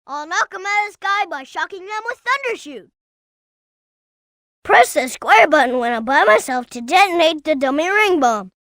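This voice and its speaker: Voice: animated, normal speed, fairly bright tone, no room sound, studio quality, heavily acted. A performer voicing an earnest, eager little boy fox sidekick in a video game, with a childlike voice. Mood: helpful, earnest. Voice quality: high, childlike.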